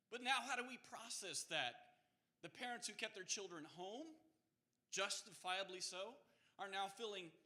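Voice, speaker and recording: A man delivering an earnest personal speech slowly at a speaking workshop. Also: sits high, bright, forceful tone. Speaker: workshop participant giving a speech.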